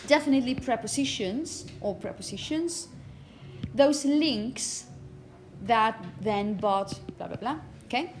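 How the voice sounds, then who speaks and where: A woman's voice: fairly high pitch, lively intonation, unhurried, a little mellow, faint music behind. A woman phonetics teacher lecturing to students, explaining and emphasizing example words, with frequent check-in questions. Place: classroom, indoors. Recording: echoey room, background noise.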